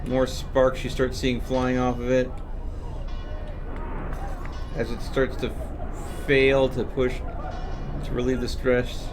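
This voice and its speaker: A man's voice: mid-range voice, monotone, slow, pauses often, music in the background. A man streaming a video game, explaining what happens on screen as he plays. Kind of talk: live gameplay commentary, explanatory.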